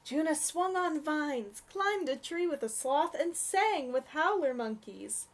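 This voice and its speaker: High, animated young woman reading aloud. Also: takes it slowly. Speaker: children's story reader. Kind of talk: read-aloud storybook narration for children.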